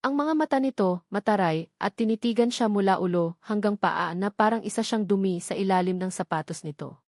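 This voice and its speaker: A woman's voice: mid-range voice, brisk, darkish tone, no echo, clean studio sound. A woman storyteller reading a scripted romantic drama with dramatic narration.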